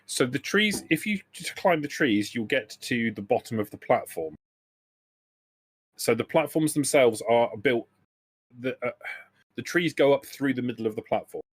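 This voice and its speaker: A man narrating a tabletop role-playing game as game master, describing scenes in a halting, self-correcting way. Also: mid-range voice, animated, slightly rough, no echo.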